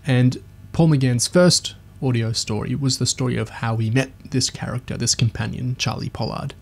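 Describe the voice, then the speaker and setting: A man's voice: mid-range voice, fairly even intonation, moderate pace, vocal fry, husky. A man hosting a podcast, talking through a review discussion with enthusiasm.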